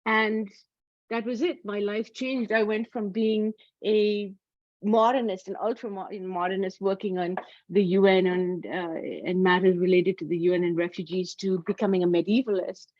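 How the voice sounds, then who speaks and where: A woman's voice: mid-range voice, steady tone, normal speed, no echo. A middle-aged woman from Pakistan giving an academic talk over a video call, hesitant with many fillers and restarts. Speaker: academic lecturer. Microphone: computer mic on a video call. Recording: dull, dry video call audio.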